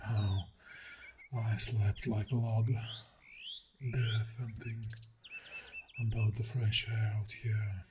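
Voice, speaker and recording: Clingy, loving boyfriend, male performer, ASMR roleplay: low, soft, whispery, extremely unhurried, clear nature sounds behind. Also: little pitch movement, background noise. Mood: sleepy, affectionate. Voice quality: soft, deep, breathy, often whispering. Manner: slow, intimate, murmuring, lingering pauses. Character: clingy, tender boyfriend waking at a campsite. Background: birdsong and outdoor ambience.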